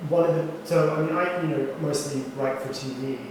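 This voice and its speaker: Man, casual and chatty, drag artist doing makeup mid-talk; far from the mic in a booming hall with steady noise. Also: brisk. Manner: chatty and animated, many asides. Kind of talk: casual conversation. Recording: very echoey room heard from far off.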